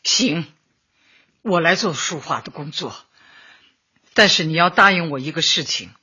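An actress in a radio drama playing a kindly older community official, consoling and persuasive. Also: female voice, low voice, lively intonation, unhurried, bright, forceful tone, husky, full character voice. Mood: persuading. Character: steady, kindly older female community Party secretary. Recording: dull lo-fi, music behind.

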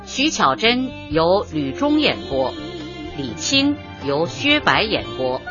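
Woman, radio announcer, formal credits reading, unhurried, animated intonation, lo-fi sound, clear music behind. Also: medium pitch. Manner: formal, measured enunciation. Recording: dull, lo-fi audio over music.

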